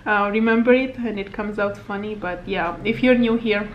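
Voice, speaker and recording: A woman's voice: mid-range voice, moderate pace, highs cut off, slight background noise. Chatty Hungarian-accented woman vlogging at home. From Slovakia. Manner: rambling, chatty, frequent laughs and fillers. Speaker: fitness vlogger and coach. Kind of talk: casual chat to camera. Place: home living room, indoors. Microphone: camera on table at arm's length.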